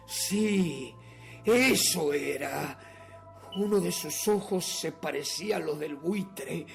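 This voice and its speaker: An actor playing a nervous, obsessive madman who insists he is sane, in a theatrical, halting radio drama monologue that swings between whispers and outbursts. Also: on the high side, gravelly, heavily acted.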